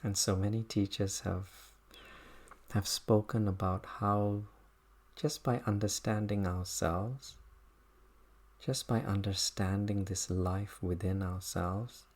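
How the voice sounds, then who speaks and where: A meditation teacher guiding a body scan practice in an unhurried, contemplative manner, speaking in short, halting phrases. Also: sits low, fairly even intonation, darkish tone.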